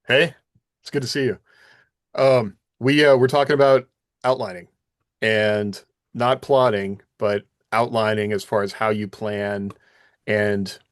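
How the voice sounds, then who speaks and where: A man's voice: mid-range voice, dry recording, clean studio sound. A man hosting a podcast in casual discussion, talking conversationally as he explains and asks questions. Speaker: podcast host.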